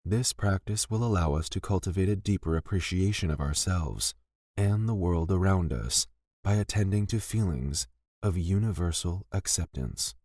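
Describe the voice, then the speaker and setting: Male meditation guide, low-pitched, gentle and unhurried delivery of scripted lines, very dry close sound. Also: dry recording. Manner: gentle, soothing, deliberate with pauses between phrases.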